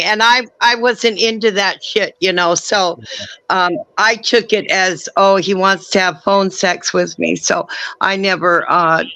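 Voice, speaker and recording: A woman telling a candid story on a casual talk show, halting and laughing often. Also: medium pitch, bright, forceful tone, no room sound, rough recording. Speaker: show regular.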